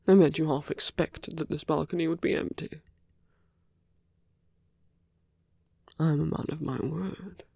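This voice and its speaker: The silky villain of a romantic audio drama, acted by a man, murmuring taunts and flirtations right at a rival's ear, husky, soft and dry. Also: on the high side, no echo, heavily acted. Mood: earnest, teasing. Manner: soft, breathy, often dropping to a whisper. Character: suave, teasing male villain, smitten with his heroic enemy. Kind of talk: ASMR roleplay acting.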